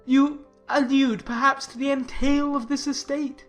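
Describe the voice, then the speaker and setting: A woman puts on an obsequious young clergyman from a classic novel. The delivery is solemn, formal and full of self-importance. The voice is on the high side, with faint music underneath. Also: level tone, measured pace. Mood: pompous, flattering. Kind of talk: dramatized classic novel reading.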